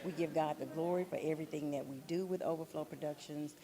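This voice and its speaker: A woman giving earnest, deliberate interview answers as a director and playwright. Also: deep voice, fairly even intonation, dark tone. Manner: earnest, faith-minded, deliberate.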